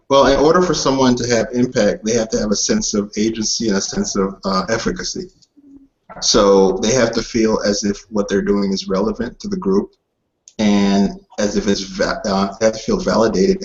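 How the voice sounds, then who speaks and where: A man who is a guest on an online hangout, explaining his ideas in a steady way. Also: lowish voice. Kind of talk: casual video hangout chat. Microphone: video call. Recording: lo-fi video call audio.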